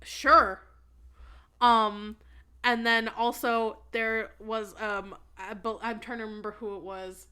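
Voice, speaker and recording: A woman's voice: a little high, little pitch movement, slow, bright, forceful tone, smooth. A young woman co-hosting a podcast in casual chat, blunt and emphatic, with plenty of swearing. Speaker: podcast co-host.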